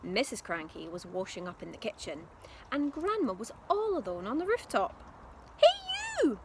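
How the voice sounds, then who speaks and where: A woman's voice: mid-range voice, sing-song, normal speed. A woman reading a storybook aloud expressively like a primary teacher, speaking directly to young listeners. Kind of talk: expressive storybook narration for children. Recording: clear background noise.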